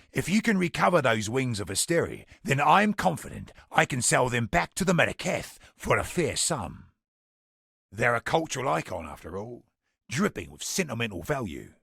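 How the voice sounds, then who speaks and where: An actor playing a chatty, wry merchant in a fantasy video game, with a gravelly, husky voice. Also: male voice, medium pitch, bright, forceful tone, no echo, clean studio sound, full character voice. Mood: scheming, cheerful. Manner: colloquial, wry storytelling with British slang. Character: roguish, chatty male merchant, wry and worldly. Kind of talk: scripted video game character dialogue.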